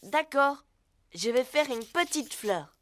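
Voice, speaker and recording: High-voiced little boy pony. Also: expressive, slow pace, fairly bright tone, full character voice. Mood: friendly. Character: friendly little boy pony, polite and upbeat. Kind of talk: preschool cartoon dialogue.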